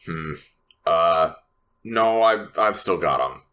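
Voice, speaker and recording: A man's voice: sits low, lively intonation, slow pace, sharp tone, smooth, full character voice. A man playing a guarded, blade-armed modified woman in a tabletop game, hesitant and understated.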